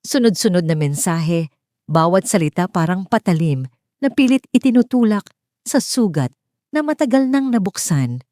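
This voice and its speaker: Female Filipino storyteller for scripted drama narration; lower register, soft dark tone, close dry recording. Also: animated, moderate pace, no echo.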